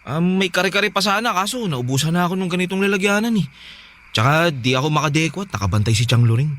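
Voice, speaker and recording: A man's voice: a little high, lively intonation, fast, a bit bright. A man playing a devoted, insistent youngest son talking earnestly to his father in a Filipino radio drama. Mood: casual, chatty. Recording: music playing behind.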